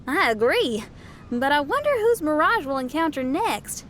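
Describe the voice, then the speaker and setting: A woman's voice: high-pitched, very animated, normal speed, a bit bright, a little hiss or hum. A woman voicing an upbeat, easygoing young female musician with a folksy, casual manner in a video game. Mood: eager, upbeat. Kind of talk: English video game voice acting.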